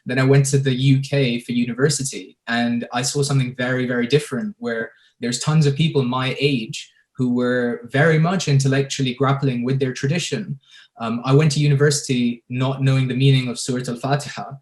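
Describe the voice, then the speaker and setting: A young man from the Emirates, a filmmaker speaking thoughtfully with many pauses and fillers on a video call panel. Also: mid-range voice, fairly even intonation. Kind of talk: reflective panel discussion.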